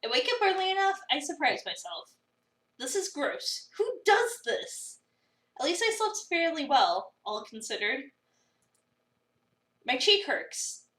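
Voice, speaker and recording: A woman's voice: high voice, big pitch swings, a little slow, long pauses, a bit bright, exaggerated voice. A woman voicing an exasperated, sarcastic young cafe worker, narrating a visual novel with dramatic incredulity. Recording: roomy, slightly distant mic.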